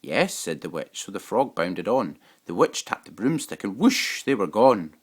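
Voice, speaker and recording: A man giving an expressive, dramatic reading of a rhyming children's picture book. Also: on the low side, moderate pace, slightly rough. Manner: dramatic, rhythmic rhyming delivery. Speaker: storybook reader.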